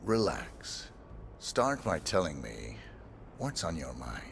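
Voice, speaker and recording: A man playing a cool, controlled assassin posing as a doctor, speaking in a calm, terse, measured way. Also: extremely low pitch, gravelly, a little hiss or hum, heavily acted.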